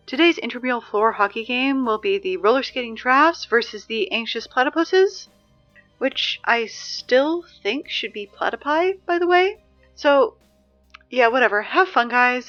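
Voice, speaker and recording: Snarky high-voiced teenage girl announcer played by a woman. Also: animated, moderate pace, a bit bright, muffled recording, faint music behind. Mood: snarky, exasperated. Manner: snarky, conversational, dry. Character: sarcastic, exasperated teenage girl reading school announcements. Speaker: school announcer. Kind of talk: audio drama, casual intercom announcements.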